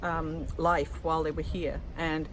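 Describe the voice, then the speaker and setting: A woman's voice: deep voice, level tone, a little slow. A woman from England with a mixed English accent, articulate and informative, answering questions in a casual street interview outdoors. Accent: mixed English, from Lancashire and moving around. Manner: articulate, informative, laughs easily. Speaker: passer-by interviewed, author. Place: outdoors in the shade beside a historic building. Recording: outdoor street recording with clear background noise.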